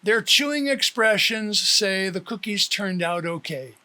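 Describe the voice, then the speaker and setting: A man reading his own story as a first-person audiobook, with expressive storytelling. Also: high voice, measured pace. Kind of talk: first-person scripted narration.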